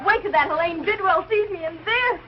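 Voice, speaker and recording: A young woman playing an excitable, adoring teenage daughter in a 1940s TV sitcom, gushing and dramatic. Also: extremely high pitch, brisk, crowd noise. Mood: thrilled, gushing. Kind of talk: 1940s live TV sitcom acting. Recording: dull, noisy old TV soundtrack.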